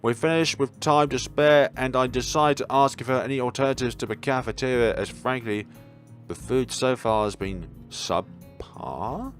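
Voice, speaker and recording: A young man reading a visual novel aloud as a let's player, expressive but sometimes stumbling, with casual commentary of his own. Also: medium pitch, moderate pace, soft background music.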